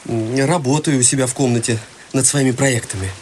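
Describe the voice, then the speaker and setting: A young man actor playing an odd, reserved, morbidly deadpan youth in a radio play, terse and hesitant. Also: medium pitch, fairly quick, slight background noise, lightly acted. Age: young adult.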